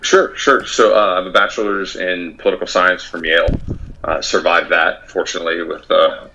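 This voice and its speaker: A man being interviewed about his past in a measured way, with frequent fillers and restarts. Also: low voice, little pitch movement, creaky, sharp tone, lo-fi sound, a little hiss or hum. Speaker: guest, former soldier.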